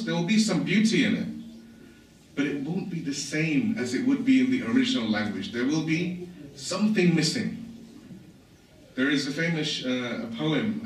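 A man from England giving an informal religious lecture, explaining things conversationally with asides. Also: medium pitch. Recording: echoey hall, voice from far off, faint hiss.

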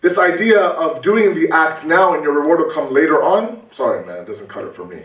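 Animated male preacher in an echoey room. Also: on the high side, a little fast. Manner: conversational, animated, rhetorical questions, repeats for emphasis. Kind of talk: religious talk. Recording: roomy, distant mic.

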